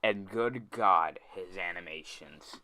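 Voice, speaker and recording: A man's voice: sits low, slow, sharp tone. A young man ranting in an online commentary video, exasperated and often tripping over and restarting his words, close to a dry mic. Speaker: YouTube commentator.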